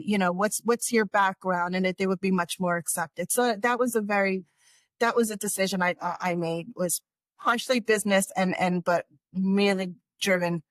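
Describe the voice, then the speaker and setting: A woman's voice: mid-range voice, no echo. A middle-aged woman from New York, a guest in a casual interview, rambling with frequent restarts and laughs. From New York, United States.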